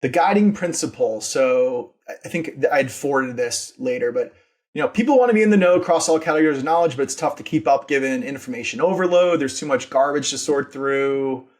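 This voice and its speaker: A man's voice: mid-range voice, brisk. A man speaking casually and emphatically as a podcast guest, telling stories about his work as a founder in an informal, enthusiastic way. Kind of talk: casual interview storytelling.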